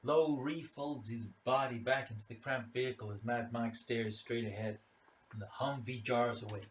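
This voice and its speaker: A man's voice: mid-range voice, fairly even intonation, normal speed, a little hiss or hum. A man reading a literary short story aloud as a dramatic narration. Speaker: story reader.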